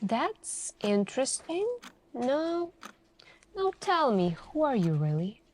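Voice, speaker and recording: A woman doing an ASMR roleplay as a suspicious female detective, questioning in a deliberate, pointed way with many pauses. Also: high voice, very animated, a little hiss or hum. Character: calm, suspicious, probing female police detective. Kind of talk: ASMR interrogation roleplay, slow deliberate delivery.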